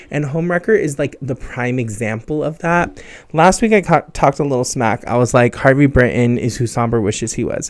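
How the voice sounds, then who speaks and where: A man's voice: medium pitch, darkish tone, no echo. A man hosting a music podcast in a chatty, enthusiastic way with casual, slangy talk. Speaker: podcast host.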